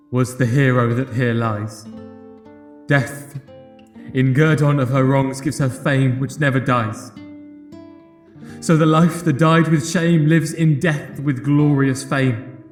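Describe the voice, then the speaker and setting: An actor playing a solemn, penitent young nobleman in a Shakespeare radio play, speaking verse formally. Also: on the high side. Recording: echoey space with plucked guitar music.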